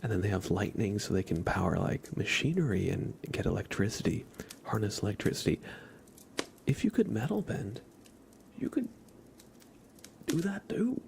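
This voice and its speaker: A man chatting casually and softly as an ASMR livestream host, often slipping into a whisper. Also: lowish voice, sing-song, normal speed, creaky, pauses often, slight background noise. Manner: soft-spoken, often dropping into a whisper. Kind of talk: ASMR, casual rambling chat with live viewers.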